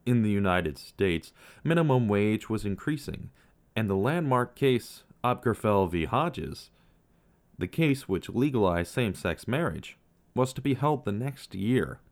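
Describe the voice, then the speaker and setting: A man narrating a scripted true-crime podcast as its host, with measured storytelling and dramatic pauses. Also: fairly low pitch, big pitch swings.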